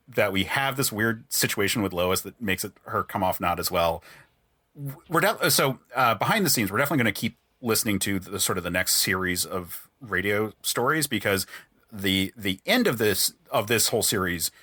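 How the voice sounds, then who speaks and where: Lowish guy podcast host, bright dry close sound, wordy analysis. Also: animated, normal speed, husky, dry recording. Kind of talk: casual podcast discussion.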